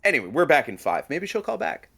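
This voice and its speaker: An actress playing Frank, a radio producer sidekick, with a dry, brisk, teasing way of talking. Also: female voice, deep voice, very animated, gravelly, fairly bright tone, breathy edge, full character voice. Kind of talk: scripted fiction podcast banter.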